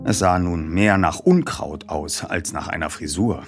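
A man reading a youth detective story as a scripted audiobook narration, acting it out in an animated way. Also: low-pitched, unhurried, faint music behind. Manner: animated, acts out the dialogue.

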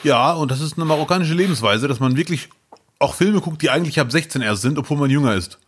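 A man's voice: fairly high pitch, lively intonation, fast, slightly rough. A man co-hosting a podcast in casual chat, dropping dry jokes and short agreeing replies. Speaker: podcast co-host.

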